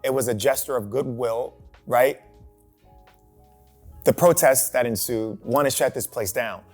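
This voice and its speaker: A man hosting a talk show, posing questions to the panel in an animated way and often checking in with "right?". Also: mid-range voice, unhurried, faint music behind. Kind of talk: moderating a discussion.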